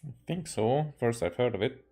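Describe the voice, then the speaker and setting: A man's voice: medium pitch, expressive, moderate pace, dark tone. A man from Hungary casually reacting to a game as he streams, with dry, offhand remarks.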